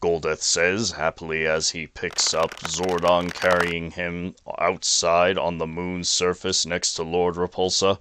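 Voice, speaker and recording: A man's voice: low voice, fairly bright tone. A man reading scripted narration for a fan-made audio drama. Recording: noisy home recording with clicks.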